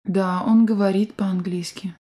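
Female course voice, soft dark tone, careful even delivery for drill prompts and answers. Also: medium pitch. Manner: clear, deliberate, evenly paced. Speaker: language course speaker. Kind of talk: scripted language drill reading.

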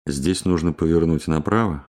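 A speaker reading scripted language-course drill lines slowly and clearly. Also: very deep voice, darkish tone. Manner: slow, clear, carefully articulated.

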